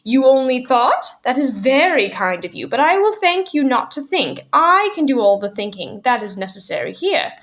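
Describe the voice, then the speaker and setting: A woman voicing a tiny, ancient, shrill old shopkeeper who turns from soft menace to sweetness in a storybook reading. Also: lively intonation, moderate pace. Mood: icy menace. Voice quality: shrill, shrieking.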